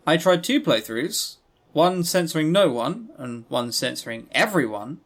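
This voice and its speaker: A man's voice: a little high, lively intonation, unhurried, fairly bright tone. A man co-hosting a podcast, giving an emphatic, rambling, opinionated review in casual talk. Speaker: podcast co-host. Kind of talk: casual review commentary on visual novels.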